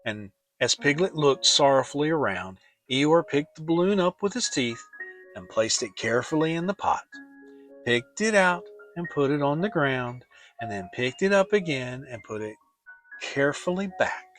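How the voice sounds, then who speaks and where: A man giving an expressive, deliberate dramatic narration of a children's audiobook. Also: a little high, faint music behind. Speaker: storyteller and channel host.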